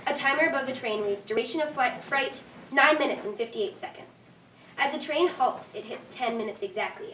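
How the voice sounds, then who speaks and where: A woman's voice: a little high, fairly bright tone. A woman reading stage directions as scripted narration at a live staged reading. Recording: echoey room, distant mic, faint noise.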